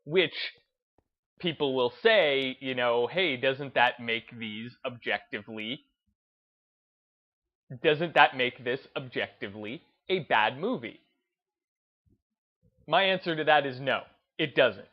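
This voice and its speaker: A man gives off-the-cuff commentary as a gaming video host, taking his time at an unhurried pace. He is reflective and halting, often circling back to reword a point. The sound is a little dull, with music playing behind him. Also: medium pitch. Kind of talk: unscripted video commentary over gameplay.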